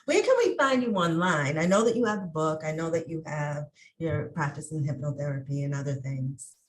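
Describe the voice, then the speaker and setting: A woman's voice: low-pitched, brisk. A woman coach hosting an interview, affirming her guest often and asking questions. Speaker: host, life coach. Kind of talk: interview conversation.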